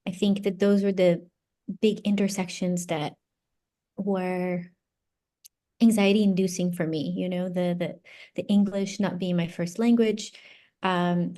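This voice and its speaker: Brazilian woman, halting interview guest. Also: on the low side, dark tone. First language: Portuguese. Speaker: interview guest, psychology doctoral graduate. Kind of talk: casual interview answers.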